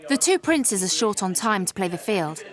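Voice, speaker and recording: A woman's voice: mid-range voice, sing-song, normal speed. A woman reading scripted narration as the narrator of a documentary. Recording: music behind the voice.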